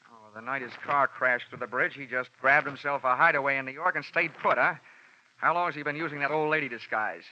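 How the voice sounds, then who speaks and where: Hardboiled American detective, very sharp forceful voice, wry, vintage radio recording, dull sound. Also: male voice, mid-range voice, fairly quick, slight background noise. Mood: hard, mocking. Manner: wry, snappy. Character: wisecracking, tough male insurance investigator. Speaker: lead character and narrator. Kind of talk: 1950s radio detective drama, hardboiled narration.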